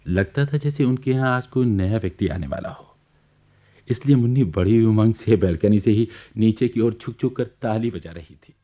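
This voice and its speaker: A genial, wry man played by an actor narrates a radio play from his sickbed. His voice is fairly low and he chats confidingly to the listener. The old recording is dull. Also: normal speed, lightly acted. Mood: fond, amused. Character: genial bedridden government officer recounting his neighbours' life.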